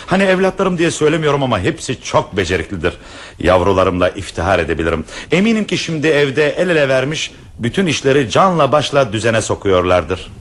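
A man's voice: medium pitch, sing-song, fast talker, natural voice. A middle-aged actor in a radio play voicing a courteous, formal professor who is a proud father.

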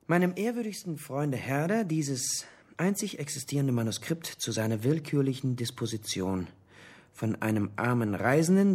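Male scholar, radio drama, explanatory, raspy-edged, lively intonation. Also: mid-range voice. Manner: didactic, explanatory. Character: male literary scholar lecturing on rival theories. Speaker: actor in a radio crime drama. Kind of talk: German radio play dialogue.

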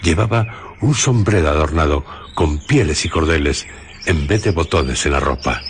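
A man narrating a scripted radio drama in a measured, literary way. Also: very deep voice, animated, slight background noise.

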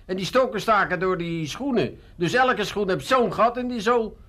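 An actor playing a naive, defensive scrounger, making earnest excuses in a comic radio drama. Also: male voice, high voice, moderate pace, pushed and bright.

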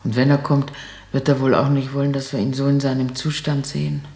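A woman's voice: rumbling low, level tone, a little fast, warm tone. An actress playing an anxious, gossipy old mother in a German radio play, with a fretful, rambling, homely way of talking. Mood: resigned grumbling. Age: older.

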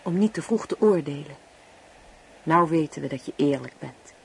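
A woman's voice: deep voice, expressive, moderate pace, vocal fry, low-quality recording. An actress playing an affectionate, middle-aged married woman in a radio drama, speaking tenderly and earnestly, anxious to please. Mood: earnest, apologetic. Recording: radio play with faint background noise.

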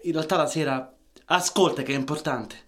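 A man's voice: on the high side, normal speed, fairly bright tone, close to an everyday voice. An actor playing a shy, earnest man with a Roman accent in a radio play, hesitant and repeating himself.

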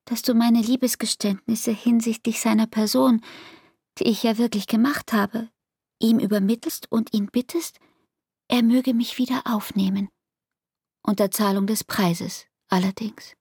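An actress playing an anguished, devoted young barmaid in an intimate radio play, with speech and a breathy, half-whispered, intense delivery. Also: female voice, fairly high pitch, fairly even intonation, measured pace, a little mellow, no echo, studio quality, heavily acted. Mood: bitter, intense.

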